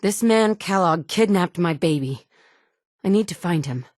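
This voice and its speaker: A woman's voice: fairly low pitch, lively intonation, unhurried, a little mellow, no echo, clean studio sound. A woman voicing a tough, blunt female survivor in a game, with curt, commanding lines. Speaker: player character. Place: recording studio. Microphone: close studio mic.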